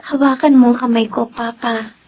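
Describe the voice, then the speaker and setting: A woman acting a devoted, gently stubborn young daughter in a radio drama, with a Filipino accent and affectionate, earnest delivery. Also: on the high side, fairly even intonation, moderate pace, close to an everyday voice. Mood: tender, insistent. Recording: muffled lo-fi audio, faint noise.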